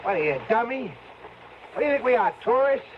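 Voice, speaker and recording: An actor playing a ruthless fugitive in an old radio drama, curt and barking orders. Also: male voice, very high-pitched, expressive, quick pace. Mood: urgent, scheming. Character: ruthless, bossy male fugitive on the run. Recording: muffled, very noisy old radio recording.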